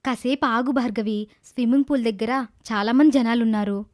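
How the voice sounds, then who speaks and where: A voice actress plays a young village woman who sells coconuts in a cartoon moral tale. She is kind and plain-spoken, with an earnest delivery in a fairly high voice. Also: a little fast, darkish tone, lightly acted. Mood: polite. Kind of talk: children's animated moral tale dubbing.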